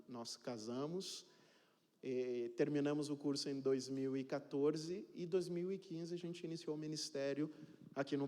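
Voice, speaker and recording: A man's voice: fairly high pitch, dark tone. A man in his thirties, originally from Cape Verde, introducing himself as the new pastor to his congregation in a chatty, joking way, often checking in with his listeners. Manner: chatty and joking, tags sentences with "né?" and "tá bom?". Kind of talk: informal self-introduction to a congregation. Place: church sanctuary. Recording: reverberant church hall.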